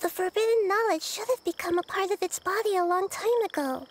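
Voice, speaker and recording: Very high-voiced wise little goddess. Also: female voice. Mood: thoughtful, concerned. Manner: thoughtful, deliberate. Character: small childlike girl deity, wise, thoughtful, gentle. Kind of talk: video game voice acting.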